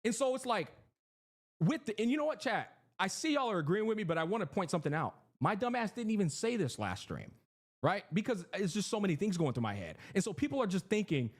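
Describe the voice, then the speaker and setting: A man in his thirties giving animated, profane livestream commentary to his chat. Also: high voice, fast talker. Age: 30s. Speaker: streamer.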